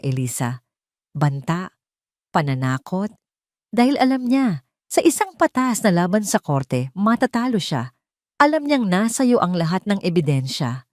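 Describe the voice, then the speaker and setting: A woman with a Filipino accent voicing a determined, protective friend in an audio drama, calm but emphatic. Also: on the low side, dry recording, clean studio sound.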